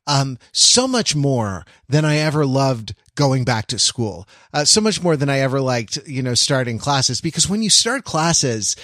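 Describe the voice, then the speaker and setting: A man in his forties chatting casually and reflectively as a podcast panelist, in a halting, rambling, wry storytelling manner. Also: mid-range voice, animated, fairly bright tone, no echo, studio quality. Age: 40s.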